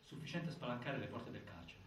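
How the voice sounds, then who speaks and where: A man giving dramatic scripted narration, deliberate and full of pauses, recorded from a distance in a very reverberant space. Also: mid-range voice, very animated. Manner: deliberate, with pauses and repeated phrases. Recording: very echoey stage, distant mic, faint noise.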